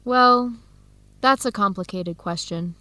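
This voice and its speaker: A woman's voice: mid-range voice, takes it slowly, sharp tone, no room sound. A young woman acting an earnest, disheartened student in her early twenties in a radio drama, enunciating carefully for language learners. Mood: conflicted. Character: disheartened 22-year-old Ethiopian-American woman student, earnest, torn.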